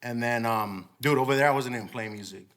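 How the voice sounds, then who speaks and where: Laid-back young man, bright voice. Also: medium pitch, fast talker. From California, childhood in El Salvador. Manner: laid-back, says "bro" a lot, laughs easily. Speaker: guest musician, musical director. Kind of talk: casual podcast chat.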